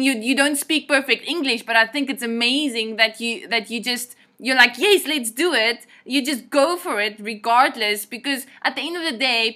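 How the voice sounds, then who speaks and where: Bright, encouraging woman interviewer. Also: fairly high pitch. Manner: encouraging, many affirmations. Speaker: interviewer, online English teacher. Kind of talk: interview conversation.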